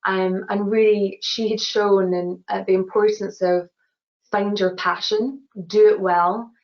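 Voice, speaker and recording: A woman's voice: medium pitch, steady tone, a little slow. A woman with a Glasgow accent answering questions in a webinar, earnest and full of "you know" and restarts. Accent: Scottish (Glasgow). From Glasgow, Scotland. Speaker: award-winning engineer guest. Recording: dull lo-fi webinar audio.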